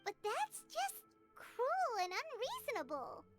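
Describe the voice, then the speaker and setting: Squeaky tiny girl sprite, very high, bouncing all over, game audio with music under it. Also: slow pace, exaggerated voice. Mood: indignant. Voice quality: squeaky, childlike. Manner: wide-eyed, expressive exclamations. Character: tiny floating girlish companion sprite, excitable. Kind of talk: video game voice acting.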